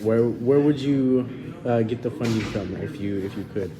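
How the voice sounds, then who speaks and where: A man with a medium-pitched voice asks interview questions in a hesitant way. Clear noise sits behind the voice. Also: moderate pace. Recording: clearly noisy recording.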